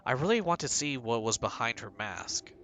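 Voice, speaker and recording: A man's voice: mid-range voice, lively intonation, normal speed, fairly bright tone, a little hiss or hum. A male streamer reading a game's text aloud and breaking off for joking, rambling asides.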